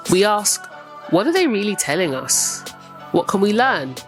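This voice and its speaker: A woman's voice: mid-range voice, sing-song, normal speed, soft background music. A woman host reading a polished, welcoming scripted introduction to her podcast.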